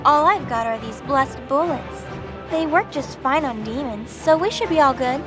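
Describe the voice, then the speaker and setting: A woman's voice: high-pitched, moderate pace, music in the background, natural voice. A woman voicing a stubborn, flippant young rookie angel gunslinger in an audio drama, with a teasing manner.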